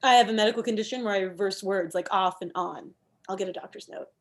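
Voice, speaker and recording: A woman's voice: mid-range voice, quick pace, lightly acted. A woman playing a pushy, combative thirty-year-old campaign manager in a comedy table read, arguing emphatically. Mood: brisk scheming. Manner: argumentative, emphatic.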